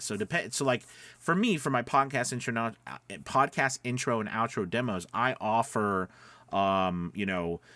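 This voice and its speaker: A man's voice: lowish voice, a bit bright, dry recording. Casual male coach, close dry sound. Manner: conversational, emphatic, often checks in with listeners. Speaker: voice-over coach. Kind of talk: casual instructional talk, explaining step by step.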